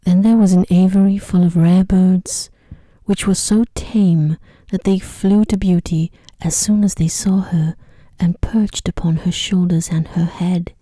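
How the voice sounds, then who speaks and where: Softly whispering woman reading a sleep story. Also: on the low side, fairly even intonation, dry recording, studio quality. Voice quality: soft, breathy, whispery. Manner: slow, gentle, soothing delivery. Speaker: narrator. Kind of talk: ASMR sleep story narration.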